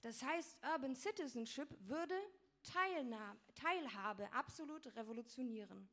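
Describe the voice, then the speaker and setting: Young woman giving a talk, a bit high voice, lots of ums and restarts, explaining stuff. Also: animated, measured pace, a bit bright. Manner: explanatory, fluent with frequent fillers and restarts. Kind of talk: presentation with slides.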